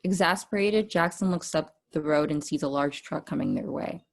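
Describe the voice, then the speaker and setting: A woman's voice: deep voice, normal speed, creaky. A woman narrating a script's stage directions at a table read.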